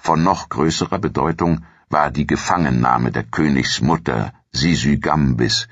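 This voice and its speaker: A man reading scripted history narration as a documentary narrator in a measured, formal, authoritative manner. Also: rumbling low, creaky, fairly bright tone, no room sound, lo-fi sound.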